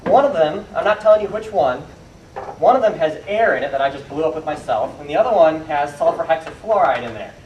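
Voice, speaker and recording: A man's voice: a little high, expressive, brisk, darkish tone, dull audio. Man, informal science demonstrator, explanatory, questioning the audience, echoey distant recording, noticeable noise. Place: indoor room with live audience. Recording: echoey room, distant noisy camera microphone.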